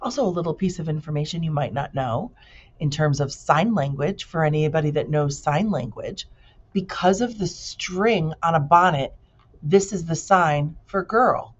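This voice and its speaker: A woman reading a children's book aloud like a teacher to pupils, with expressive narration and casual explanatory asides. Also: sits low, moderate pace, lo-fi sound.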